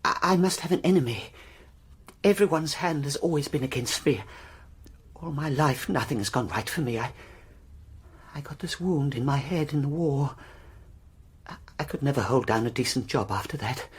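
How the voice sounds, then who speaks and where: An actor playing a nervous, down-on-his-luck war veteran in a radio play, hesitant and plaintive, with a husky, breathy voice. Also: medium pitch, lively intonation, moderate pace, long pauses. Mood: plaintive, self-pitying.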